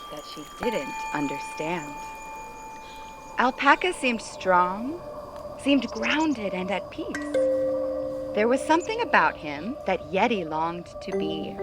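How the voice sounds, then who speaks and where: A woman reading a children's story aloud in an expressive, dramatic way, speaking to young listeners. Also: medium pitch. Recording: music behind the voice.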